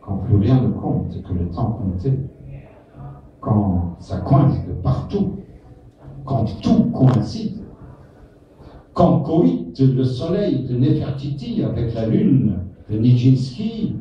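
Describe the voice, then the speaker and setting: A man giving a live spoken-word poetry recitation in short, deliberate, incantatory phrases. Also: mid-range voice, very animated. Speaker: poetry reader. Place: indoor reading stage, echoey, distant from mic. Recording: echoey room, distant mic, muffled, faint noise.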